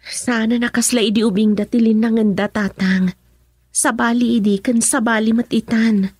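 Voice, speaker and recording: A young daughter in an Ilocano radio drama, girlish and high in pitch, recorded close and dry. She speaks in questions, with an Ilocano Filipino accent. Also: fairly even intonation, moderate pace, darkish tone, breathy edge, no echo. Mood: uneasy, defensive. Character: inquisitive, quietly jealous young daughter.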